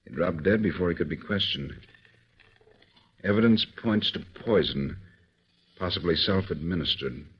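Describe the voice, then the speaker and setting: An actor playing a calm, authoritative male government chief giving measured instructions in a 1940s radio drama. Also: low voice, a little hiss or hum, lightly acted. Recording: dull old radio recording.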